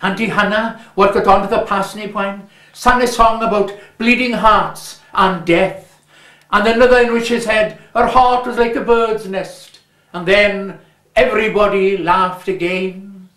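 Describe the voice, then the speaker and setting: A man with a Welsh accent reading a story aloud, measured and lingering on his words. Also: sits high, fairly even intonation, fairly bright tone. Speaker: reader. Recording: roomy echo.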